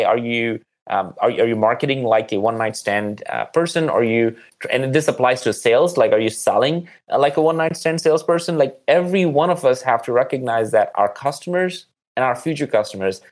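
A man's voice: medium pitch, animated, normal speed. A man speaking as a marketing guest in an interview, conversational and earnest, repeating words for emphasis.

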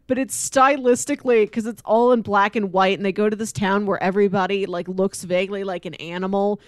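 A woman's voice: medium pitch, steady tone, brisk, no room sound. A woman co-hosting in casual chat, telling things in an informal, chatty way. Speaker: podcast co-host. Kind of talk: casual chat recommending shows.

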